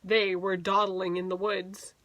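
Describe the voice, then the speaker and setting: A woman's voice: mid-range voice, flat delivery, a little slow, a bit bright, no echo, poor audio. A woman teacher reading a picture book aloud to young children, expressively and with little explanatory asides.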